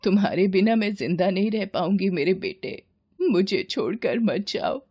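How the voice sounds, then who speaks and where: A woman's voice: mid-range voice, animated, husky, muffled recording. Woman voicing a frail, devoted old mother, pleading. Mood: pleading, heartbroken. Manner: pleading, tender, slow and weak. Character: frail, devoted elderly mother, self-sacrificing and sorrowful. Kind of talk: melodramatic Hindi cartoon story acting.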